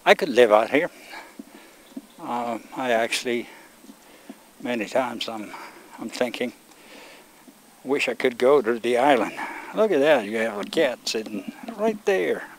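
Older male vlogger, hoarse and fading voice, relaxed rambling, handheld camera outdoors, faint background noise. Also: medium pitch, a little slow, pauses often, low-quality recording. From Sweden. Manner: relaxed, rambling, talks to viewers while walking. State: losing his voice. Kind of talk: casual walking vlog. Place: outdoors on a rural road among rice fields. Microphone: handheld camera on a selfie stick.

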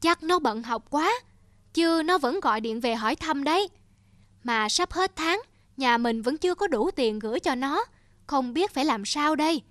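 A woman's voice: high-pitched, animated, normal speed, fairly bright tone, no echo. A woman playing a worried, loving middle-aged village mother in a cartoon drama, speaking plaintively and earnestly. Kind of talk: animated moral drama.

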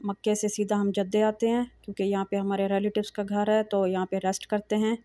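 A middle-aged woman, narrating a casual shopping vlog in a calm, soft-spoken way with frequent hesitations. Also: mid-range voice, fairly quick, no echo, clean studio sound. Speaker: vlogger. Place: large indoor shopping mall. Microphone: phone camera held while walking.

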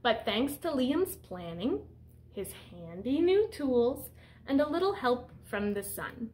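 A woman's voice: on the high side, sing-song, takes it slowly, darkish tone. A woman in her thirties reading a picture book aloud as a teacher, explaining simply and putting questions to young children. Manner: instructive, simple phrasing aimed at young children. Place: small room indoors.

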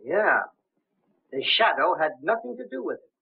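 An actor playing a gangster's henchman in a 1930s film, with a husky voice. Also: a little high, very animated, moderate pace, phone-quality sound, heavily acted. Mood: matter-of-fact. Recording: thin, band-limited 1930s film soundtrack.

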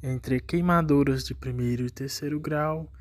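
A man's voice: on the high side, unhurried. A Brazilian man hosting an online video, narrating an informal video essay on horror series. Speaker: YouTube host.

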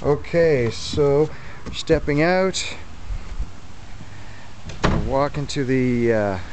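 A man hosting a casual walk-through tour of a waterfront restaurant and bar, talking into a handheld camera. He sounds friendly and admiring, with plenty of fillers. Clear outdoor noise sits under his voice. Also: mid-range voice, very slow. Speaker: tour host filming a restaurant.

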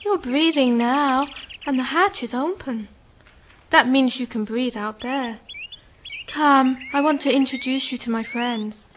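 An actress playing a serene, alluring moon-dwelling woman in a radio drama, speaking with measured, solemn assurance. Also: high-pitched, a bit bright, slight background noise. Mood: serene, inviting.

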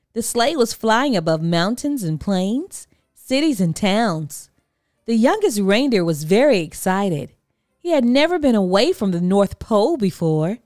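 A woman's voice: medium pitch, a little mellow, no echo, studio quality. A woman narrating a children's storybook expressively as a read-aloud.

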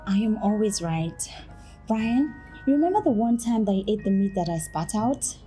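A woman's voice: mid-range voice, lively intonation, moderate pace, mellow, faint music behind, lightly acted. A woman with a Nigerian accent acting as an overfamiliar, meddling best friend in a screen drama. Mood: smug. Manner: chatty, reminiscing. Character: meddling, overfamiliar young female best friend, smug and self-pitying.

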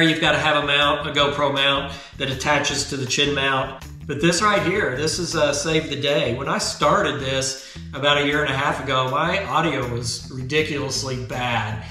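A man in his fifties hosting a motorcycle vlog channel, explaining a helmet setup in a relaxed, chatty, self-deprecating way at a desk indoors. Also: a little high, reverberant. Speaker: motovlog channel host. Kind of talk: casual gear tutorial. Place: indoor garage studio.

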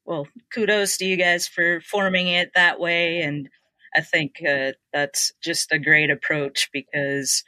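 A woman's voice: deep voice, flat delivery, measured pace, sharp tone, no room sound. A woman hosting a fishing podcast, encouraging her guests with short affirmations before asking questions. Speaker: podcast host. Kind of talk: interview hosting.

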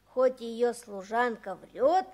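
A nine-year-old runaway boy in a 1960s radio play. The voice is high and boyish. He speaks slowly and carefully, earnest and a little halting. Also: lively intonation, a little mellow. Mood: reading aloud.

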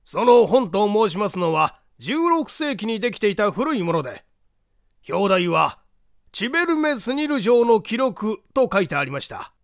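A man's voice: sits high, a bit bright, no echo, studio quality, voice pushed up, exaggerated voice. A man voicing a courteous, anxious lord of a castle in a mystery audiobook, speaking formally and theatrically. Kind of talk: dramatized Japanese mystery audiobook reading.

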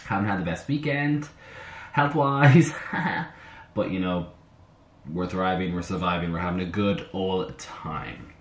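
A slightly hoarse young man chatting excitably to camera in his bedroom, talking to himself as he reacts to music. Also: sits low, unhurried. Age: young adult. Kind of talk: casual reaction chat. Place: bedroom at home, indoors. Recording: roomy bedroom with faint music. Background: soundtrack music playing faintly.

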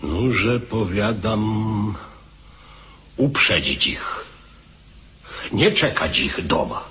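Deep, forceful royal counsellor. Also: male voice, animated, very slow, full character voice. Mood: grave, urgent. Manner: emphatic, oratorical. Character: grave male royal counsellor urging war. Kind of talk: radio drama acting.

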